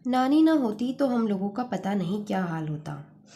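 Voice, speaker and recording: A woman narrating a literary story as a storyteller, reading expressively in an earnest, measured manner. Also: medium pitch, big pitch swings, warm tone.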